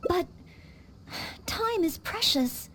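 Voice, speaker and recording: An actress voicing an earnest, polite young maid training to be a knight in a game cutscene, with small hesitant stammers. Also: female voice, extremely high pitch, animated, drawn-out words, fairly bright tone, a little hiss or hum, heavily acted. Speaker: game character.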